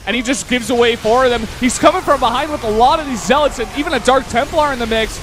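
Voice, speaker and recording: High-voiced laid-back male co-caster. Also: quick pace, background noise. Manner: laid-back analysis, chuckles often. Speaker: esports co-caster. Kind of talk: color commentary. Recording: commentary over loud game music.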